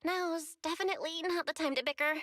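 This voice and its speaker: An actress voicing a friendly, level-headed young woman in an anime video game, gently mediating between others. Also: very high-pitched, fairly even intonation, fast talker, dry recording, clean studio sound. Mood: conciliatory.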